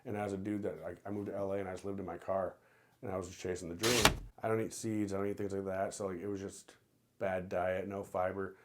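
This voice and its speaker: Deep-voiced man telling stories. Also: a little hiss or hum. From Minnesota, USA. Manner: animated storyteller, acts out quotes in other voices. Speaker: stand-up comedian, guest. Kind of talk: casual podcast storytelling.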